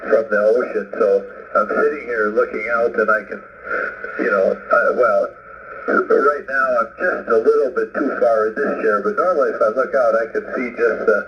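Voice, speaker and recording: A man chatting casually over amateur radio in a friendly, halting way, with frequent pauses mid-phrase, heard through a radio transmission. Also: on the low side. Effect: shortwave-style radio transmission. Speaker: visiting amateur radio operator. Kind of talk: casual ham radio rag-chew. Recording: muffled, noisy single-sideband radio reception. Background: radio static and noise.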